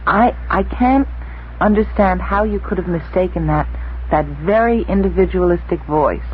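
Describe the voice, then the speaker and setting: A man's voice: sits high, moderate pace. A man putting questions conversationally as an interviewer on a radio talk show. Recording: muffled 1960s radio recording, faint noise.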